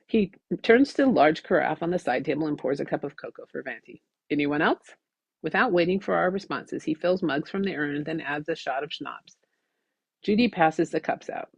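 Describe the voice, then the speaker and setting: A woman reading her own audiobook story in an expressive, scripted narrating style. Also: low voice, creaky, slightly rough, no room sound.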